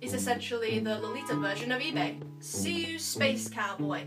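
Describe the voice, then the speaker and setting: A woman's voice: on the high side, expressive, normal speed, distant mic. A young woman from Scotland, a YouTuber answering fan questions in a bubbly, playful vlog. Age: young adult. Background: loud background music.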